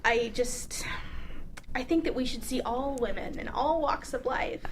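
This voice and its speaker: A woman's voice: high-pitched, measured pace. A woman in her thirties, a filmmaker guest, chatting casually and emphatically. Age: 30s. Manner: emphatic, exclamatory. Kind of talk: casual chat.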